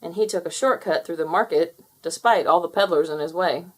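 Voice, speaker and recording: A woman reading a children's picture book aloud in an expressive storytelling manner. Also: on the low side, brisk, poor audio. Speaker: storybook reader. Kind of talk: children's read-aloud narration.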